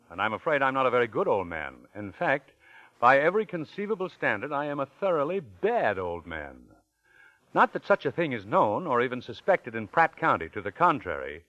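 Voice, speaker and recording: An actor playing a sly, wordy old crook with a pompous, florid manner in an old radio drama. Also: male voice, on the high side, dry recording. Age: older. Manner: pompous, florid, flustered when challenged. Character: sly, wordy, self-confessed crooked old con man. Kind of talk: 1940s radio drama acting. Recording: dull vintage radio recording, loud music.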